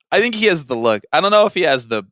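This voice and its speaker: A man's voice: on the high side, bright, forceful tone, no room sound, studio quality. A man co-hosting a podcast in casual banter, with a teasing, opinionated way of talking. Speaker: podcast co-host.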